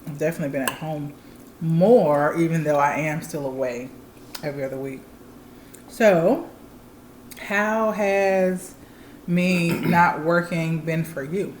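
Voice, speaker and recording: A woman's voice: low-pitched, echoey room, a little hiss or hum. A woman in her thirties chatting candidly and explaining things plainly to viewers as a channel co-host. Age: 30s. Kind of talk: casual chat.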